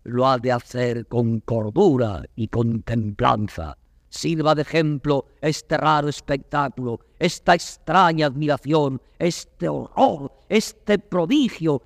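A man's voice: a little high, vocal fry. An actor playing a proud young prince who addresses his court in classical Spanish verse, declaiming with a Castilian accent. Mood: solemn, humbled. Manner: declamatory, stately oratory. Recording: reverberant hall, vintage studio drama record.

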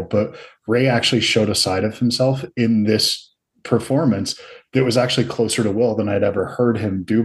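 A man hosting a podcast, talking in a thoughtful, measured way. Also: lowish voice. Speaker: podcast host. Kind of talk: casual interview chat.

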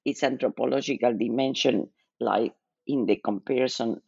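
An older woman with an Argentine Spanish accent, answering interview questions as a guest in a measured, reflective way. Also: very deep voice, vocal fry, a little mellow, slightly rough, no echo, lo-fi sound. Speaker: guest author, psychologist.

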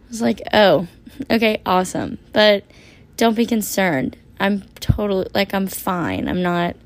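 A young woman in her twenties hosting a casual solo podcast, her voice hoarse and raspy from a long shift, rambling chattily with lots of "like". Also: mid-range voice, a little slow. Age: 20s. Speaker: podcast host.

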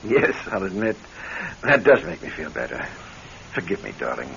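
Low, gravelly radio-drama husband. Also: male voice, big pitch swings, measured pace, a bit bright, exaggerated voice. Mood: conceding, tender. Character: considerate, slightly jealous husband, gently reassuring. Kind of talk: old radio drama acting. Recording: muffled, noisy vintage radio recording.

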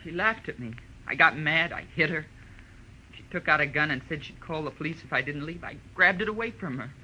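Piercingly intense, desperate murderess. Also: female voice, low-pitched, a little fast, bright, forceful tone. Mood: grim regret. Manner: intense, short confessional sentences. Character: desperate, coldly apologetic murderess confessing at gunpoint. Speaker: radio drama actress. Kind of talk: 1940s radio melodrama acting. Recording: noisy old radio broadcast.

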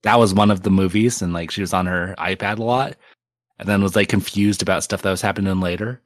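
A man's voice: low-pitched, steady tone, fast talker. A man co-hosting a podcast in casual chat, hesitant and full of restarts. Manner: hesitant, many likes and restarts. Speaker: podcast co-host.

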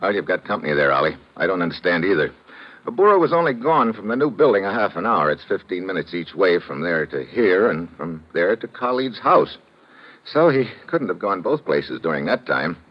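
Dogged detective, very low pitch, clipped slangy delivery, old radio drama, dull vintage recording. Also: male voice, big pitch swings, a little fast. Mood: wry. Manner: clipped slangy hard-boiled talk. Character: tough wisecracking male detective, dogged and wry. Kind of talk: old-time radio drama acting and narration.

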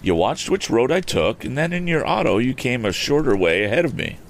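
A man voicing a bold, cool-headed teenage boy inventor who talks in a crisp, commanding way in a storybook reading. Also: mid-range voice, very animated, a little fast, pitched above the performer's own voice. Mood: stern. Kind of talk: audiobook character voice.

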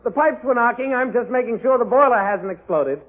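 An actor playing a coolly murderous mechanical double of a husband in an old radio drama, smooth and soothing. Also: male voice, very high voice, lively intonation, brisk. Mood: soothing, sly. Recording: tinny phone-like old radio recording.

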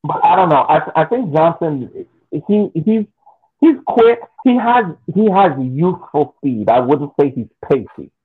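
A man's voice: fairly high pitch, very animated, measured pace, slightly rough. A man on a casual sports podcast panel, repeating words and phrases as he makes his point over a tinny line. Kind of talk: casual soccer discussion. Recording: tinny phone-like muffled line.

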